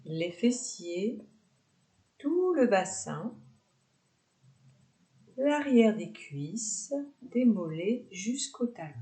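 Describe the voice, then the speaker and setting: Very slow-paced woman guiding relaxation. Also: mid-range voice. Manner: unhurried, instructing softly with pauses. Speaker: yoga nidra guide. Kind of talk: guided relaxation meditation.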